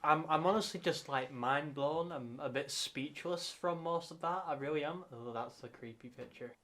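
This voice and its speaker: A man's voice: on the high side, normal speed, a bit bright. A man reacting casually and joking, rambling with hesitant restarts. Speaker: co-host reacting.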